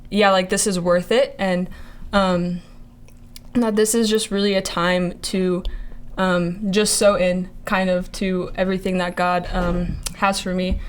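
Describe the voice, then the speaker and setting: A woman's voice: fairly low pitch, level tone, a little slow, clean tone. A young woman in her early twenties from Montana, sharing a faith testimony in casual chat, hesitant with many fillers. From Montana, USA. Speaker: ministry intern.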